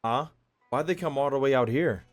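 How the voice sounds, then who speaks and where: A man's voice: medium pitch, moderate pace, soft background music. A man giving casual, slangy, profane reaction commentary as a gaming video creator, in a joking manner.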